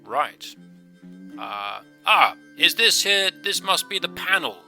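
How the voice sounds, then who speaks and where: A man's voice: high-pitched, very animated, takes it slowly, creaky, pushed and bright, faint music behind, exaggerated voice. A man playing an impatient, theatrical adventurer doctor in a comic audio drama. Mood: eager. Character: exasperated, bombastic male adventurer doctor.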